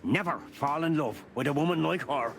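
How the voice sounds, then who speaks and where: A man's voice: fairly high pitch, a little fast, vocal fry. An actor playing a weary, kindly old animal in a noir video game, folksy and fatherly, with music underneath. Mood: warning, fatherly. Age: older.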